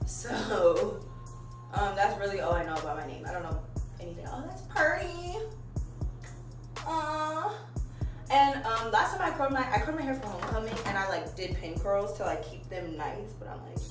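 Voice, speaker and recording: A woman's voice: fairly high pitch, far from the mic. A young woman from Maryland chatting candidly while curling her hair for a vlog, laughing often and swearing casually. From Maryland, USA. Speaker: vlogger. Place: at home. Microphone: phone camera. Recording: roomy, phone held away, music behind.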